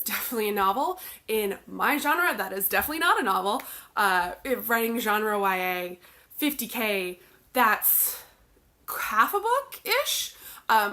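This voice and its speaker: A woman's voice: fairly high pitch, bright, forceful tone. A young woman author, congested from the flu, chatting casually to camera with lots of fillers. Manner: chatty, bubbly, many "like" and "um" fillers. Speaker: author and video blogger.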